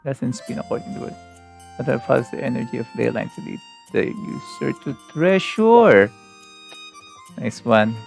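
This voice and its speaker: A man's voice: mid-range voice, very animated, moderate pace, vocal fry, long pauses. A man with a Filipino accent giving casual live commentary while he plays a game, half talking to himself. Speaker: game livestreamer. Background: game music.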